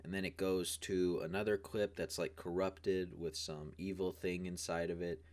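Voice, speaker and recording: A man's voice: deep voice, flat delivery, normal speed. A man hosting a video with casual commentary, talking in a rambling, informal, hedging way. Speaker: video host.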